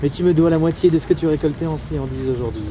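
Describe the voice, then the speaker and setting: An actor playing a composed, wry investigator in command, in a French audio drama, speaking in a measured, dry, authoritative way. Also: male voice, on the high side, natural voice. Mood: composed, serious. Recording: noisy urban ambience.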